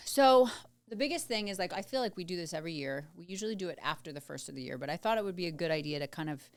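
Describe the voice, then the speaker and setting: A woman's voice: fairly low pitch, lively intonation, rapid-fire. A woman in her late thirties co-hosting a podcast, chatting animatedly and swearing casually. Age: 30s. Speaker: podcast co-host.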